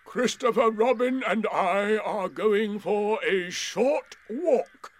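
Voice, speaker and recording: A man voicing a gloomy, solemn old male donkey in a storybook reading, speaking slowly and weightily. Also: high voice, lively intonation, voice pushed up. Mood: stiff, proud. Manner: slow and weighty.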